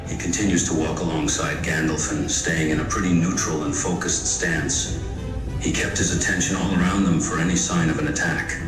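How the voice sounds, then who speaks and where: A man's voice: very deep voice, steady tone, normal speed. A man narrating his fantasy game character in scripted third person. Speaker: player in a fantasy role-playing game. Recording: roomy echo, music behind. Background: music.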